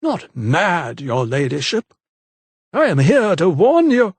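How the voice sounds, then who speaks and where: A man voicing a zealous, menacing old vicar who speaks in a slow, unctuous, preaching manner, in a dry, close audiobook reading. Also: high-pitched, huge pitch swings, breathy edge, no room sound, pitched above the performer's own voice, heavily acted.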